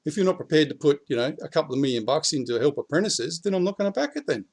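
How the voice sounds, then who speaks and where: A blunt Australian man with a slightly croaky voice after the flu, talking straight to camera and swearing freely. His voice climbs and gets louder when he presses a point. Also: on the high side, sing-song, fast. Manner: blunt, swears, rising heat when urging. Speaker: prepping vlogger. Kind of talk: casual political talk to camera. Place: outdoors in his backyard.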